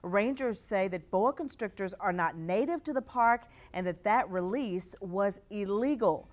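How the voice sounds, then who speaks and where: A woman with an American accent reads scripted television news. She has an anchor's broadcast delivery and a medium-pitched voice. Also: animated, unhurried.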